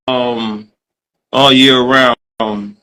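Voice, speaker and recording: A man co-hosting a podcast, asking relaxed questions and repeating words as he goes. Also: medium pitch, drawn-out words. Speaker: podcast co-host. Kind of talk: casual chat.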